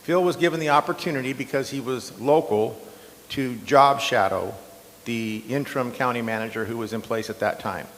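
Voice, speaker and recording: Slightly hoarse man, defensive, in a hall. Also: mid-range voice, moderate pace. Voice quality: loud strong voice. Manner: defensive, conversational with fillers. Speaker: incumbent county supervisor. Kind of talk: candidate debate answer. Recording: long hall reverb.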